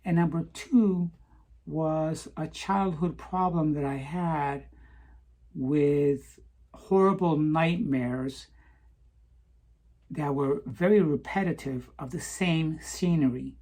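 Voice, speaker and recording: A middle-aged woman telling her own life story in a slow, hesitant way, often pausing with "um" and restarting her words. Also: deep voice, dark tone. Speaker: vlogger. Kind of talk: personal confessional monologue.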